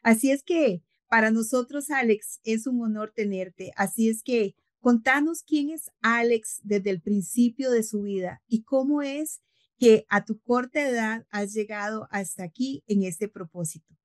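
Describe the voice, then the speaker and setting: A woman's voice: fairly high pitch, unhurried, dry recording. A middle-aged woman with a Costa Rican accent hosting an interview over a video call, effusive and formal. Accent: Costa Rican Spanish. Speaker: host.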